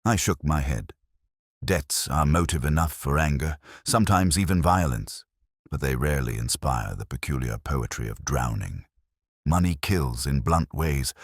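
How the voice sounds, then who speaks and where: A man playing a melancholy police inspector, narrating his case in measured, literary, introspective prose for an audio drama. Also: a bass voice, slightly rough, no room sound, studio quality, exaggerated voice. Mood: brooding, reflective. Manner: measured, literary, brooding delivery. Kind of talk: scripted first-person detective audio drama narration.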